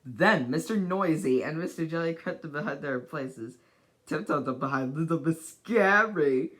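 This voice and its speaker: A person reading a children's storybook aloud in a deliberate, dramatic way, as an amateur narrator. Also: medium pitch, animated, fairly bright tone.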